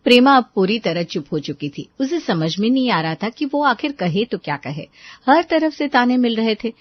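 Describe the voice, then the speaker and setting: A woman reading scripted storytelling narration for an animated moral tale. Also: on the high side, normal speed, no echo, dull audio.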